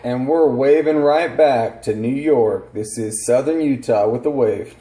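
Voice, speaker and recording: A man operates a CB radio in a roomy space with plenty of noise around him. He speaks in procedural radio jargon, courteous and orderly, at a middle pitch. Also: unhurried. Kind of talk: CB radio skip talk with call signs and jargon. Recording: roomy home recording with clear radio noise. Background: CB radio static.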